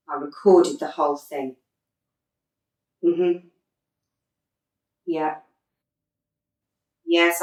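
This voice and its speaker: Actress as a middle-aged lady football manager, radio play, dry and sardonic, lowish voice, echoey room. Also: distant mic. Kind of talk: radio drama acting. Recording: roomy echo, away from the mic.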